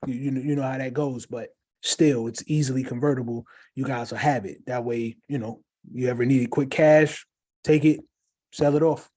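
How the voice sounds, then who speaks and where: A man's voice: medium pitch, steady tone, normal speed, a little mellow. A man co-hosting a casual livestream, upbeat and encouraging, reading out viewer questions. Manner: upbeat, encouraging, many fillers. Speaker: co-host.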